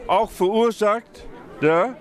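An older man with a Dutch accent answers a street interview, talking slowly and haltingly, his voice sitting a little high. He stands in a busy Christmas market at night. A dense crowd murmurs all around him. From Netherlands. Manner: slow, hesitant, many fillers. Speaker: market visitor. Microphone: handheld mic held close. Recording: loud crowd behind.

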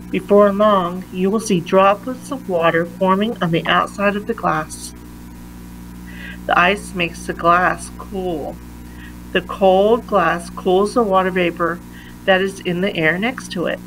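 A woman reading a children's picture book aloud in a deliberate manner, pausing between phrases. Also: medium pitch, fairly bright tone, a little hiss or hum, low-quality recording. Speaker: read-aloud reader.

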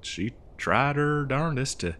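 A man's voice: medium pitch, lively intonation, a bit bright. A man playing a dim, good-natured cowboy mail rider in a comedy roleplay, rambling hesitantly in an American cowboy drawl. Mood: chatty, sheepish. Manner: rambling, hesitant, folksy, slow-witted. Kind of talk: improvised comedy audio roleplay.

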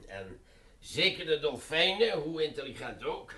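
A slow scoffing general, bright voice, roomy and far from the mic. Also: male voice, fairly high pitch, sing-song, heavily acted. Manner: slow and condescending.

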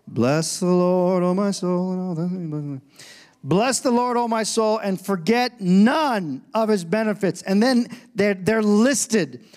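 A man in his forties preaching a sermon on a church stage into a handheld microphone, swinging between loud emphatic lines and quiet conversational asides. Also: high-pitched, measured pace. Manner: preaching with rising and falling intensity, conversational asides. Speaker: pastor.

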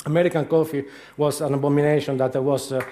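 A man's voice: on the high side, level tone, fast, dark tone. A middle-aged man with an Italian accent giving a humorous conference talk, telling anecdotes with frequent hesitations. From Italy. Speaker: conference speaker. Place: large dark lecture hall.

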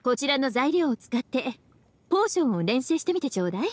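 A woman's voice: sits high, very animated, quick pace, noisy background. Sultry teasing witch librarian. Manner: languid, teasing, calls herself big sister. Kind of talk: Japanese game dub.

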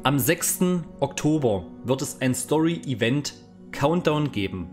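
A man's voice: mid-range voice, animated, a little slow, a little mellow. Casual male gaming YouTuber, music behind. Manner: informal, explanatory. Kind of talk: casual video game news vlog. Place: small indoor home streaming room. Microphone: studio microphone on boom arm, close.

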